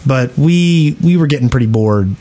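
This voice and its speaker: A man's voice: mid-range voice, lively intonation, normal speed, warm tone. A man co-hosting a gaming podcast, chatting casually and reading out listener questions. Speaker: podcast co-host.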